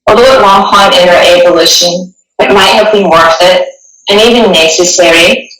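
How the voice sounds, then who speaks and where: A woman guiding a meditation in a gentle, soothing, unhurried way, with long pauses between phrases. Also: fairly low pitch, steady tone. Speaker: meditation guide. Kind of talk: guided meditation, partly reading a passage. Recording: echoey room, distant microphone.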